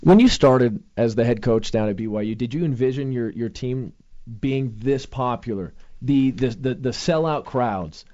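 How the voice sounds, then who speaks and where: A man's voice: mid-range voice, moderate pace, mellow. A man hosting a sports radio show, talking energetically with many fillers and restarts. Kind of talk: casual sports radio talk. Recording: lo-fi radio studio sound.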